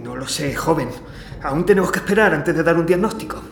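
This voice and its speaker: Echoey, measured Spanish doctor, acted by a man. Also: fairly high pitch, lively intonation, creaky. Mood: grave. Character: measured, reassuring hospital doctor. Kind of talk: audio drama acting. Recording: echoey room, faint noise.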